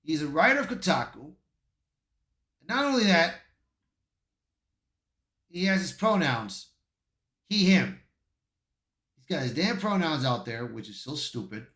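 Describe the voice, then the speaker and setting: A man's voice: fairly high pitch, very animated, unhurried, long pauses, fairly bright tone. A man giving casual online commentary and reading aloud, often stumbling over his words. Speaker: YouTube commentator. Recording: roomy, echoey voice.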